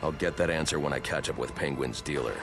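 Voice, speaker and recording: A man's voice: a bass voice, fast talker, over loud music. A man playing a grim masked vigilante detective in a video game, terse, stern and brooding. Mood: grim, determined.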